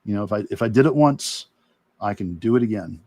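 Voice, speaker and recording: A man giving a casual, reflective demo talk as an art instructor while drawing, with halting, rambling explanations. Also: fairly low pitch, animated, warm tone, no room sound. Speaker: illustrator and course instructor demonstrating.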